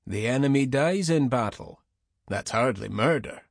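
A man's voice: medium pitch, expressive, normal speed, vocal fry, dry recording, clean studio sound. A man voicing a smug middle-aged Scottish mercenary commander in an audiobook, with a mocking, falsely polite manner.

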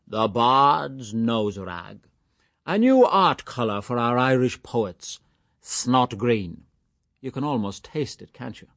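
A man's voice: medium pitch, lively intonation, gravelly, fairly bright tone, no echo, clean studio sound, voice pushed up, heavily acted. A man voicing a mocking, theatrical young man who drags out his words in mock-grand style. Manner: exaggerated, drawn-out mock-grand delivery.

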